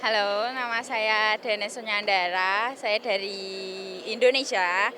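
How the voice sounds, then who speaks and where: A woman who works as a domestic worker, answering a street interview slowly and hesitantly, with pauses. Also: mid-range voice, background noise.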